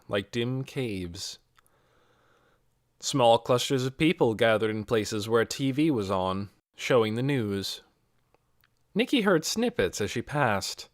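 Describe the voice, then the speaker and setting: A man's voice: medium pitch, dry recording, studio quality. A man reading a scripted novel aloud as an audiobook narrator. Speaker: audiobook reader.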